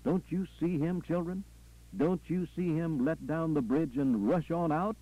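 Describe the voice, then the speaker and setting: A man's voice: on the high side, measured pace, highs cut off, voice pushed up. A man performing a fervent preacher delivering a rhythmic, folksy call-and-response funeral sermon full of repetition. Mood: exultant, urgent. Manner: folksy, rhythmic repetition with punctuating "aha" and "ah, well".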